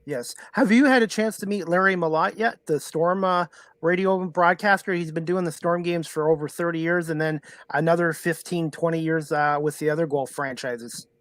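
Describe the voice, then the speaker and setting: Guy in his 40s chatting sports on his podcast, voice a bit high, no room echo. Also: steady tone, normal speed, fairly bright tone. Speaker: podcast host. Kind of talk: casual sports chat.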